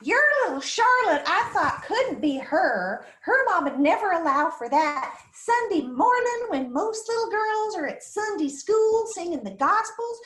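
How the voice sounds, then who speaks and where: Female, prickly, folksy small-town mother played by an actress, high swooping voice, dramatic storyteller, for screenplay drama. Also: a bit bright, reverberant, full character voice. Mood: mock scandalized. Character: crazy, possessive, theatrical small-town country mother, fond but prickly. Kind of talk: screenplay table read acting. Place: remote virtual table read, roomy-sounding space.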